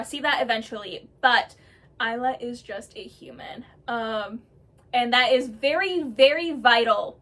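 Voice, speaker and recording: A woman with a high, bright voice talks casually to her viewers about the characters she made up. She gushes and gets excited, and she fills her pauses with lots of "um"s. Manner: gushing and excitable, many "um" fillers. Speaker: storyteller vlogger.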